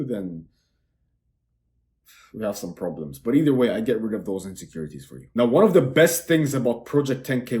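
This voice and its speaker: A young man from Dubai, a native Arabic speaker, pitches his online course straight to camera. He sounds brash and self-assured and keeps repeating words to hammer his points home. His voice has a mellow, darker tone. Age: young adult. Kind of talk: boastful sales pitch to camera.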